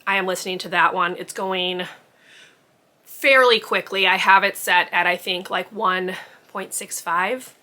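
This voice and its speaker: A woman's voice: fairly low pitch, steady tone, unhurried, sharp tone. A woman book vlogger chatting casually to camera, rambling with lots of ums and likes.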